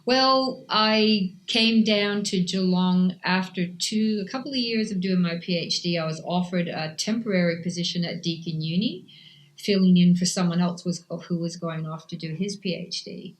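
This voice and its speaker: A woman's voice: fairly low pitch, moderate pace, poor audio. A woman in her fifties from Canada, with an accent between Canadian and Australian, a cycling coach answering interview questions earnestly. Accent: mix of Canadian and Australian.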